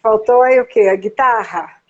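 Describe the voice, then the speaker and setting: A woman's voice: fairly high pitch, moderate pace, lo-fi sound. A woman with a Brazilian Portuguese accent hosting the interview, calling out short, encouraging prompts. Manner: brief encouraging interjections, loud when calling out. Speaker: interviewer from the school.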